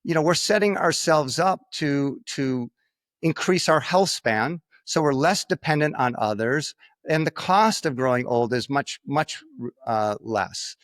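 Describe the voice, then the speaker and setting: An American man talking as an interview guest, haltingly repeating words for emphasis and often checking with a tag question. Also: a little high, animated, no room sound, studio quality. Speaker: podcast guest, finance expert. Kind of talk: conversational interview talk.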